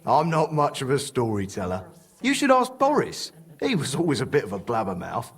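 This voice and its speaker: Husky laconic medieval craftsman. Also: mid-range voice, wildly swooping pitch, fairly quick, heavily acted. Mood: gruff, agreeable. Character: gruff, laconic medieval male craftsman, no great talker. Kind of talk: naturalistic game dialogue.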